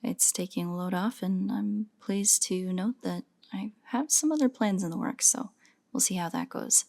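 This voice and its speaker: A soft-spoken woman casually addressing her listeners in a relaxed way, as an audio roleplay creator. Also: medium pitch, normal speed, creaky. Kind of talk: casual outro thanking listeners.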